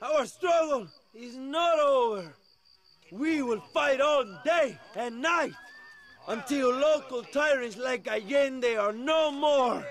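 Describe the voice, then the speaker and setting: An actor playing a fiery, grandiose Mexican revolutionary leader in a video game, shouting an impassioned rallying speech with a Mexican accent. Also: male voice, very high voice, slow, heavily acted. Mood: impassioned, defiant. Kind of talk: theatrical political speech. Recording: noisy outdoor speech over loud crowd.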